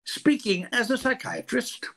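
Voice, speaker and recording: An older man playing an exasperated, dry-witted psychiatrist in a 1940s-style radio comedy, with a husky voice. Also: extremely high pitch, unhurried, a bit bright, heavily acted. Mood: grave, exasperated.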